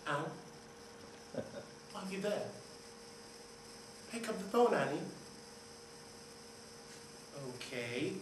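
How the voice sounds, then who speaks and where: A man playing a soft-spoken caller. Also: high-pitched, very animated, echoey room, distant mic, slight background noise, exaggerated voice, rough recording. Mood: tender. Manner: slow, tender, soft.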